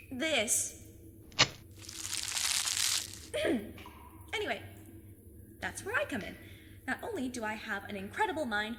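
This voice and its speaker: A woman's voice: a little high, background noise. A woman playing a plucky, overconfident fifteen-year-old girl sleuth with a cheeky, mock-formal manner in an audio drama. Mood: nervous salesmanship. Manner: eager, cheeky, mock-formal, self-assured.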